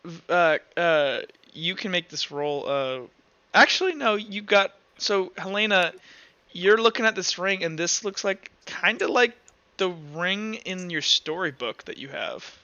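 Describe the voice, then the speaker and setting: Bright, high-voiced male game master. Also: lively intonation, measured pace, dry recording, lo-fi sound. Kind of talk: casual tabletop game narration.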